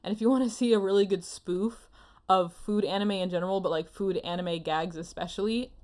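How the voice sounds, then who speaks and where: A woman's voice: medium pitch, steady tone, a little fast, a little mellow. A woman co-hosting a podcast, chatting casually in an effusive, emphatic, rambling way. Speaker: podcast co-host. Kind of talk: casual opinionated chat.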